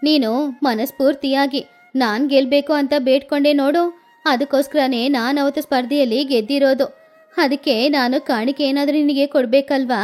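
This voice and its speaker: A woman voicing a gentle old mother-in-law. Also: high-pitched, lightly acted. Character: elderly mother-in-law, generous and content. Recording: faint background music.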